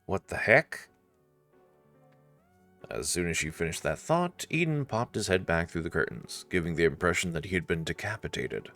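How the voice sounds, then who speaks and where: A man's voice: low-pitched, very animated, brisk, vocal fry, few pauses, a bit bright, soft background music. A man narrating a visual novel playthrough expressively, with casual asides.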